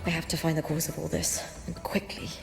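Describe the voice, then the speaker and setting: A woman playing a weary, determined young female warrior in a video game, speaking gravely with a breathy, creaky voice. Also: low voice, level tone, brisk. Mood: urgent, brooding. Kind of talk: dramatic video game voice acting. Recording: game audio under loud music. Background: loud game music.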